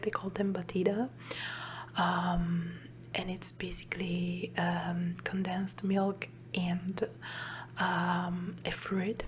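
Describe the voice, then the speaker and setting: A woman's voice: fairly low pitch, a little hiss or hum. Whispering Italian woman, close mic. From Emilia-Romagna, Italy. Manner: soft unvoiced whisper, chatty with fillers. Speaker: ASMR channel host. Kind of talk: whispered ASMR Q&A chat. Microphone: large studio mic close in front.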